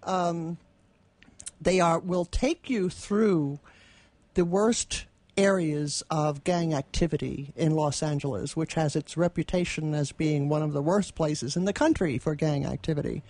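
A woman's voice: low-pitched, a little slow, mellow, no room sound. A woman co-hosting a casual radio chat, talking in an amused way from a station studio. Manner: amused, chuckling as she talks. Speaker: radio co-host.

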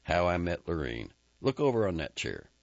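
A man reading aloud and voicing a restless, boastful young cowboy who talks in a rough, profane, rambling way. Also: sits low, sing-song, normal speed, dry recording. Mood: excited. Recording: dry, slightly muffled recording.

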